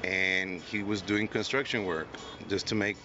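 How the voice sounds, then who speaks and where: A man on a discussion panel, telling his family's story with halting restarts. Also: sits low, fairly even intonation, lo-fi sound, noisy background. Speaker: panel guest. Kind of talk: personal testimony.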